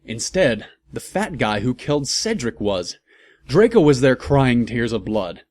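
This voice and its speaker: A man's voice: medium pitch, big pitch swings, measured pace, a little mellow. A man reading a story aloud as a comedic host, breaking off for wry, amused asides.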